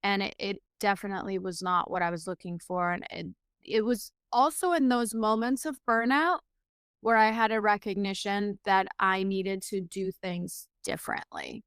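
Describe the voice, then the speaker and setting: A woman in her forties, from Iran, speaks as an interview guest in an earnest, reflective way, pausing often with fillers. Her voice is very smooth and resonant. The recording is close and dry. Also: medium pitch, steady tone, fairly bright tone, no room sound. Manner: earnest, many fillers and restarts.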